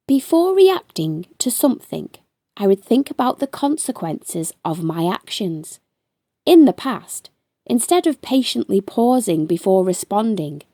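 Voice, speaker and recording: Slow, animated woman reading a storybook. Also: mid-range voice, gravelly, no room sound, clean studio sound. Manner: animated, deliberate storytelling with drawn-out emphasis. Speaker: storytime host reading a children's book aloud. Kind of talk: expressive children's read-aloud narration.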